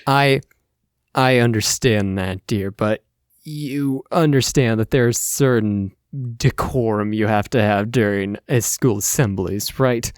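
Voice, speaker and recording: Female school principal, played by a man: measured, gently admonishing, low-pitched and slow, tabletop game. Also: clean tone, dry recording, studio quality. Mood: firm, patient. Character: female school principal, patient but firm.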